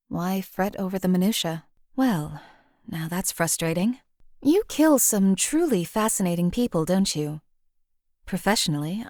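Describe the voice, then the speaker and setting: A woman voicing a cold, detached female hired assassin who speaks in a measured, formal, cynical way. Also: fairly low pitch, darkish tone, no room sound, clean studio sound, natural voice. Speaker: companion character voice. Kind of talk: game voice lines.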